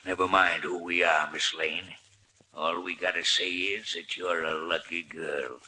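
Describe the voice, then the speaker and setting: Threatening small-town heavy, hard bright edge, echoey with faint noise, old radio play. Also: male voice, low voice, steady tone, measured pace, exaggerated voice. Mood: cold menace. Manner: rough colloquial speech, curt threats. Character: menacing small-town male thug, coldly threatening. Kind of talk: 1940s radio acting.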